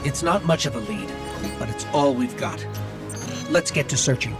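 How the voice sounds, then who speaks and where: A man's voice: a little high, moderate pace, natural voice. Earnest little robot companion over music male actor. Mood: earnest, alert. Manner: earnest, conversational, alert. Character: small chatty robot companion, earnest and watchful. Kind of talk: video game companion dialogue.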